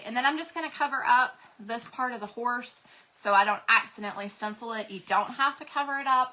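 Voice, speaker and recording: A woman's voice: fairly high pitch, pushed and bright, rough recording. A woman, chatting folksily with viewers while demonstrating crafts live from home. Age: 40s. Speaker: craft channel host.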